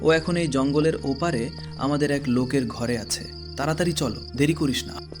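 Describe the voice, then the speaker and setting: A man's voice: mid-range voice, moderate pace, close to an everyday voice. A man playing a deceitful, cruel estate henchman in a cartoon story, in a scheming, menacing manner. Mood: coaxing deceit. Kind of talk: villainous cartoon story acting. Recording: loud music behind the voice.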